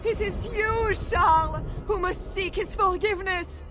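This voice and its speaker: An actress playing a devout, composed noblewoman who pleads calmly and earnestly. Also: extremely high pitch, unhurried, faint music behind. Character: devout, composed noblewoman, young adult, gentle. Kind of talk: video game cutscene acting.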